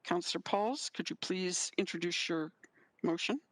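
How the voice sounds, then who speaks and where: A woman chairing a council committee, running through votes and motions in a brisk, procedural way. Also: fairly low pitch, dry recording.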